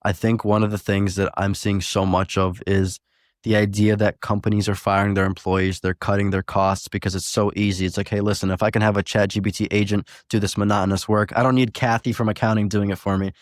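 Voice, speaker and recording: A man hosts an interview and poses his questions conversationally. His voice is low and barely moves in pitch. It is recorded close and dry. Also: flat delivery, a little fast, no echo. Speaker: podcast host, interviewer.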